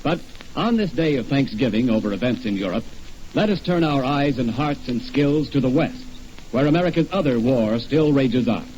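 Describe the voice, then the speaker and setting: A man's voice: medium pitch, lively intonation, moderate pace, a little mellow, close to an everyday voice. A man playing an earnest, formal American radio announcer in a stirring, scripted 1940s style. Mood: solemn, rousing. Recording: dull, noisy radio-style recording.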